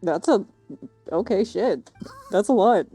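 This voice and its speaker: A woman's voice: mid-range voice, expressive, a little slow, dark tone, breathy edge, faint music behind. A woman streamer giving casual live commentary on a game with a dry, joking manner.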